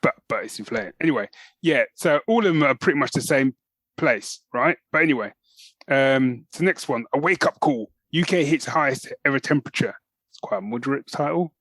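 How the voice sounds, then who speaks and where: A man's voice: mid-range voice, animated, a little fast, a bit bright, no echo, poor audio. A middle-aged man, co-hosting a podcast in casual, matey, jokey banter. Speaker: podcast co-host.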